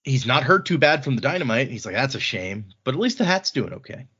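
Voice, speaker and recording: A man's voice: mid-range voice, big pitch swings, a little fast, pushed and bright, husky, lo-fi sound. A man hosting a podcast, retelling a fantasy novel in casual, colloquial language with wry asides. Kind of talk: casual chat, informal book recap.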